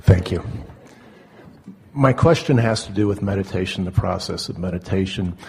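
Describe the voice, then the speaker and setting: Casting: man with a creaky, rough-edged, lowish voice, audience questioner, careful and halting delivery. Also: darkish tone. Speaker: audience member asking a question. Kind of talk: unscripted question at a live seminar.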